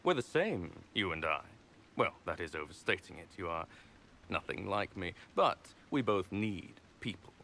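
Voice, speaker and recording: Deep-voiced, pompous noble villain. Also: male voice, big pitch swings, moderate pace, bright, forceful tone, a little hiss or hum, full character voice. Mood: smug. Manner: pompous, formal, patronizing. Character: smug, condescending male nobleman villain, smooth schemer. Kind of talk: video game character acting.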